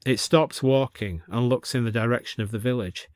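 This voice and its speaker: A man's voice: mid-range voice, moderate pace, no room sound, studio quality. A man with a British accent reading a scripted fiction story as narrator.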